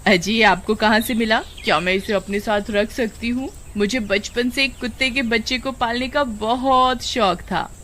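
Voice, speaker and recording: A woman's voice: a little high, fairly even intonation, normal speed, few pauses, bright, forceful tone. A woman voicing a farmer's tender, emotional young wife in a children's cartoon story. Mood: delighted. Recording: very noisy background.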